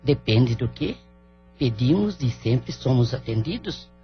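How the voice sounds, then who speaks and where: Someone acting a guarded elderly woman healer, low-fidelity audio. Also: very animated, measured pace. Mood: guarded, assured. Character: elderly poor spiritual worker woman, guarded, businesslike. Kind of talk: audio drama dialogue. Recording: dull lo-fi audio with music underneath.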